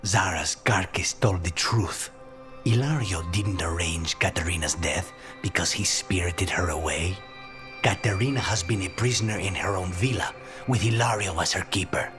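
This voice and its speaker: A very deep male voice over clear music an actor in a game role. He is a cautious, brooding assassin, grimly purposeful and terse, speaking as in a fantasy game cutscene. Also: moderate pace, natural voice. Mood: urgent, grave. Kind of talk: video game cutscene acting.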